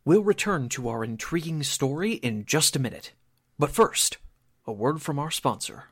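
A male announcer delivers scripted old-time radio narration. His voice is a little husky, and his pitch moves widely with measured drama. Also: expressive, fairly bright tone.

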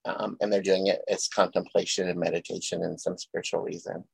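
A low-voiced man with a gravelly edge hosts a reflective conversation. He speaks gently and thoughtfully, often pausing as he retells a story. The sound is dry and close. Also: level tone, no room sound, low-quality recording. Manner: gentle, thoughtful, tells stories. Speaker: podcast host. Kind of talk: reflective interview chat.

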